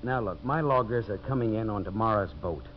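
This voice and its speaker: An actor playing a brash, greedy American lumber boss, pushy and wheedling, in 1950s radio drama style. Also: male voice, fairly low pitch, fairly quick, lightly acted. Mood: pushy, impatient. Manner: pushy, wheedling, blunt salesman's talk. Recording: muffled, noisy 1950s radio recording.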